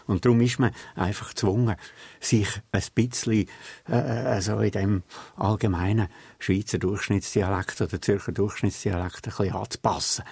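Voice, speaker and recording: A man's voice: on the low side, darkish tone, husky, no room sound. An older man with a Central Swiss German accent, a literary scholar answering interview questions thoughtfully and emphatically, with frequent hesitations. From Central Switzerland. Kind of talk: reflective interview talk.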